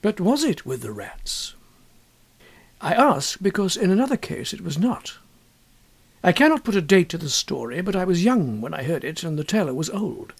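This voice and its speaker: A man with a husky voice narrating a literary ghost story in a measured, expressive way. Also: high voice. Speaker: audiobook narrator.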